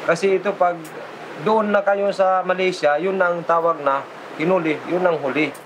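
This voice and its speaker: Higher-pitched Filipino man explaining. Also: steady tone, normal speed, noisy background. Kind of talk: explanatory documentary interview.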